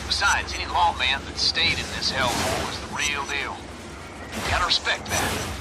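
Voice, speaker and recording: Man playing a high-pitched scrappy sidekick. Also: normal speed, heavy background noise, natural voice. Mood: casual, upbeat. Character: chatty, high-voiced scrappy male sidekick buddy. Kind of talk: video game voice acting.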